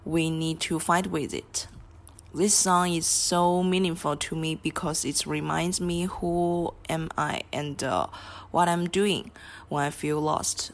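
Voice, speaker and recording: A woman from Chongqing speaks English with a Chinese accent; it is not her first language. She talks slowly and hesitantly, pausing on "uh" fillers. Her voice sits low for a woman. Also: steady tone. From Chongqing, China. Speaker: guest speaker. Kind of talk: prepared spoken monologue.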